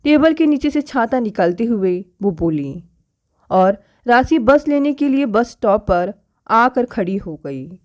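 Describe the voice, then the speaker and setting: A woman narrates a domestic moral tale in a storytelling style. Her voice sits fairly high in pitch as she reads the scripted prose. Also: big pitch swings, unhurried, darkish tone.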